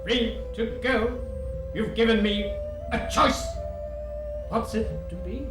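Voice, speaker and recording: A man playing a weary, defiant male prisoner in an amateur audio drama, delivering his lines slowly and sardonically. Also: sits high, music in the background. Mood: sardonic. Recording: echoey room.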